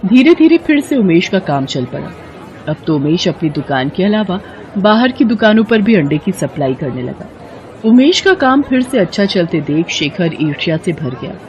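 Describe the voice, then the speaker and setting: A woman with a slightly husky voice reading a scripted moral tale as a storyteller. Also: on the low side, sing-song, moderate pace, a little mellow, dull audio, a faint crowd, slight background noise.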